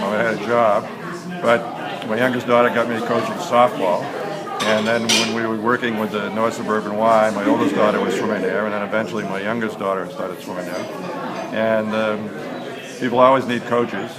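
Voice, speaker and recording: An older man, a swim coach, giving unhurried, storytelling answers in an interview. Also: fairly low pitch, level tone. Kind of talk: interview answers with long anecdotes. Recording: loud crowd din in large indoor space. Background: loud crowd.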